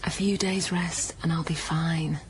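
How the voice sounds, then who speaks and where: Radio play: hushed, wounded woman, lowish voice, emotional natural delivery, faint ambience behind. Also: fairly even intonation, measured pace, slight background noise, poor audio. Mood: subdued, reconciling. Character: wounded, emotional woman haunted by her ancestor's suffering. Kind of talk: naturalistic radio drama dialogue.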